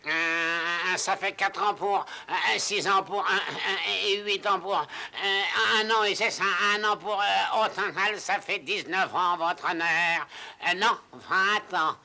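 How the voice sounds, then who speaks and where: An actor playing a muddled, fussy court clerk in a cartoon, hesitant and stammering. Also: male voice, high voice, steady tone, slow pace, bright, forceful tone, full character voice. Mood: flustered.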